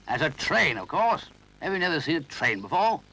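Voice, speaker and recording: A man's voice: a little high, sing-song, moderate pace, bright, forceful tone, pitched above the performer's own voice, exaggerated voice, rough recording. A man voicing a grumpy, fussy brownie who speaks crossly but means well. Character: brisk grumpy elderly brownie, kindly underneath.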